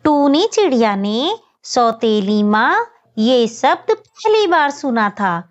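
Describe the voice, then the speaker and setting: A woman's voice: on the high side, very animated, takes it slowly, highs cut off. A woman narrating a scripted children's story as the storyteller.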